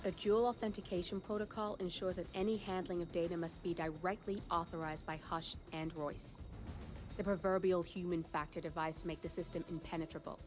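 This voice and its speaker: Brief: actress as a dry, level handler, lowish voice, music bed under her. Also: steady tone, close to an everyday voice. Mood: cool. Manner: measured, dry, businesslike. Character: composed female handler giving a mission briefing. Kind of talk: game cutscene briefing. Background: cutscene music.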